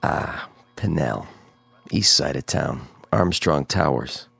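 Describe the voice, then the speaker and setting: A man acting a cagey, reluctant lowlife informant in a radio drama, with a gravelly voice. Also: expressive, slow pace, fairly bright tone, no echo, exaggerated voice.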